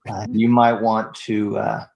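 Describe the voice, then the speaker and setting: An older man speaks as a judge on a video call. He talks very slowly and politely, deadpan and hesitant. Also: fairly low pitch, gravelly, low-quality recording. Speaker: judge in an online hearing. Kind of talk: formal, hesitant instruction.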